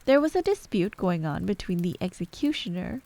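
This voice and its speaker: A woman's voice: on the low side, very animated, fairly quick, dark tone. A woman reading scripted bedtime storybook narration in an ASMR style.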